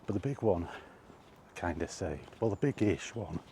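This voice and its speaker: A man giving a casual, halting opinion monologue to a vlog audience, rambling along in short phrases while out walking. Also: deep voice, lively intonation, mellow, slight background noise. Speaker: video blogger. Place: outdoors, walking.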